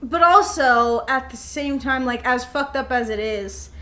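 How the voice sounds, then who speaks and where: A woman's voice: high-pitched, unhurried, sharp tone. A young woman co-hosting a podcast in loose, casual chat with a friend, blunt and informal. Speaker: podcast co-host.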